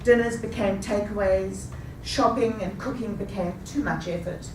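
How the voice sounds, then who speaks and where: A woman in her fifties telling a rehearsed personal story with a measured, deliberate delivery. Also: mid-range voice, noisy background. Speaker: speaker. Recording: echoey room, taken from a distance.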